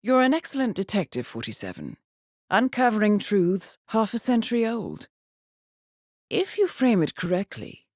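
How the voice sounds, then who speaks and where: An actress playing a composed, coolly professional intelligence handler who guides an assassin in a video game, measured and dryly wry. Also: female voice, fairly low pitch, darkish tone, no room sound, studio quality. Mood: wry, approving. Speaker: mission handler. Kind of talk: scripted video game mission briefing.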